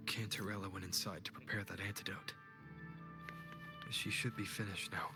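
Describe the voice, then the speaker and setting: An actor playing a calm young male hero in a game cutscene, speaking in a steady, reassuring way. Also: low voice, moderate pace. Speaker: protagonist. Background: game music.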